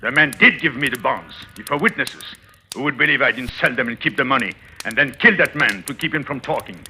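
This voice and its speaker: A man's voice: mid-range voice, fairly quick, sharp tone, slight background noise. An actor playing a scheming, self-assured husband in a 1940s radio thriller, theatrical and intense, confessing his own crime. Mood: urgent, persuasive. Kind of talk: 1940s radio thriller acting, first-person confessional narration. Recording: old radio recording with dramatic music.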